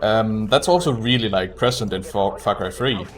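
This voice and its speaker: Low-voiced man, casual gameplay commentary. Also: normal speed. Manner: chats to viewers while playing. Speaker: speedrunner streaming live.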